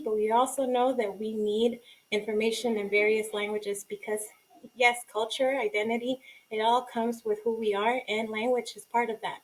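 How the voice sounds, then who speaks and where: A young adult woman from Guatemala whose first language is Spanish gives an earnest, informal talk as a community organizer. Her voice sits a little high. She pauses often on ums and restarts her sentences. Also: poor audio. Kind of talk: informal presentation.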